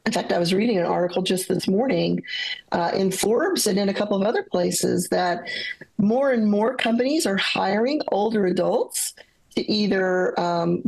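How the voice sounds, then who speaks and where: A woman's voice: mid-range voice, creaky, a little mellow, husky, low-quality recording. A middle-aged woman, a leadership coach as guest, speaking earnestly and upbeat, often agreeing. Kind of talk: casual conversational interview.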